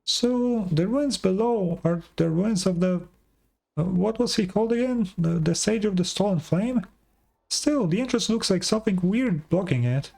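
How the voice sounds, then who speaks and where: A woman voicing a tiny, excitable, childish fairy companion in a video game, squeaky and cheeky. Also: moderate pace, gravelly, slightly rough. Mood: curious. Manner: talks of herself in third person, pouty.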